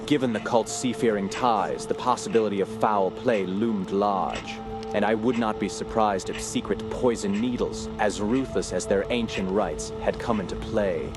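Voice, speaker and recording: Male actor as troubled scholar, lowish voice, formal, measured, radio play narrator, music behind. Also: lightly acted. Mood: grave, troubled. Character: earnest, troubled male scholar investigating a sinister cult. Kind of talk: radio drama narration and dialogue.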